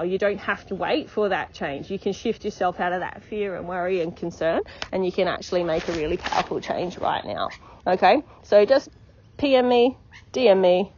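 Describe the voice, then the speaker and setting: A woman's voice: medium pitch, brisk, a little mellow, highs cut off, slight background noise. A woman life coach giving an encouraging, repetitive motivational podcast talk, often checking in with "okay?".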